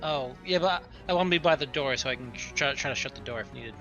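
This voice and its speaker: A man's voice: mid-range voice, fast talker, bright, forceful tone, highs cut off, faint music behind. A man talking casually as a player in a tabletop roleplaying game.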